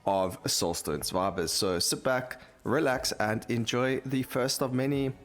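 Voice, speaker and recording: A man's voice: mid-range voice, a little slow, a bit bright. A man giving casual gameplay commentary as a video creator, talking over game audio. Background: game music.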